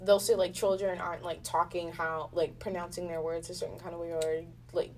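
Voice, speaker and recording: A woman chatting casually as an interview guest, expressive and playful, often acting out little imagined quotes. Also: on the low side, a little fast, a little hiss or hum. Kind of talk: casual, impromptu interview chat. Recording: distant mic.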